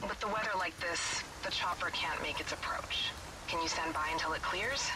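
An earnest, apologetic military operator calls over game radio. His clipped, fairly high voice sounds distant through a very noisy transmission. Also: normal speed. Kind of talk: video game radio dialogue.

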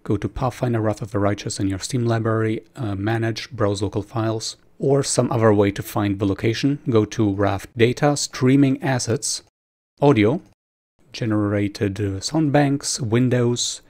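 A man's voice: lowish voice, unhurried, darkish tone. A man presenting a screen-recorded tutorial, explaining each step informally.

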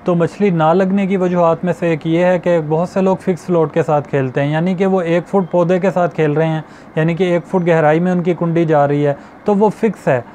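A man's voice: fairly high pitch, dark tone, a little hiss or hum. A young man hosting a fishing channel, explaining step by step to camera and repeating his points, recorded on a lapel mic in a small indoor studio. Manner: explanatory, repeats points, addresses viewers as friends. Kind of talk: instructional talk to camera.

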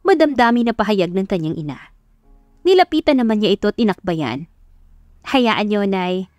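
A woman's voice: mid-range voice, big pitch swings, a little fast, darkish tone, no room sound, highs cut off, soft background music. A woman narrating a scripted dramatic love story as an audiobook narrator.

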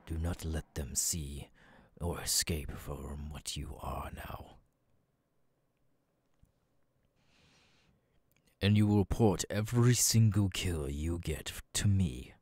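A man voicing a cold, domineering demon lord in an ASMR audio roleplay, commanding and contemptuous, dropping into breathy murmurs. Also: low-pitched, a little mellow, no echo. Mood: cold, instructing.

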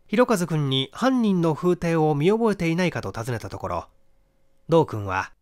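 A man giving a measured, expressive reading of a literary detective story as narrator. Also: a little high. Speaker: audiobook reader, stage actor.

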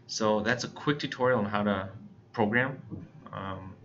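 A low-pitched American man with a bright, forward edge to his voice presents a casual software tutorial. He gives plain, step-by-step instructions. The recording is a little dull and lo-fi. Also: a little slow.

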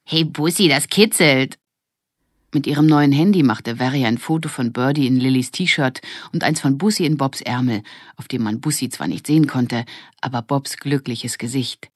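A woman's voice: a bass voice, normal speed, darkish tone, slightly rough, dry recording, studio quality. A woman reading a scripted children's story as an audiobook narrator.

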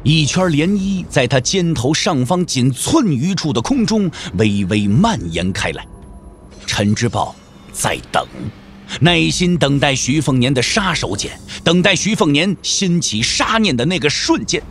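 Animated male wuxia storyteller. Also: fairly high pitch, measured pace, a little hiss or hum. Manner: theatrical, suspenseful delivery. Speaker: audio drama narrator. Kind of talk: dramatic scripted storytelling of a wuxia novel. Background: background music.

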